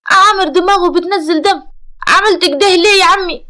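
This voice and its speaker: An anxious young Egyptian woman, acted by a female storyteller, pleads tearfully. Her voice is very high and frightened, in the style of a dramatic audio story. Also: fairly even intonation, a little fast, no echo, clean studio sound. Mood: tearful, afraid.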